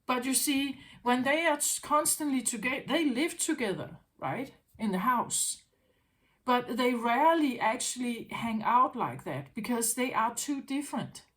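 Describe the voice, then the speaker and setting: Slow, musing woman with a higher voice. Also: fairly bright tone. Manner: musing, repeats phrases, asks "right?". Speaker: intuitive tarot reader. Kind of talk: unscripted spiritual monologue.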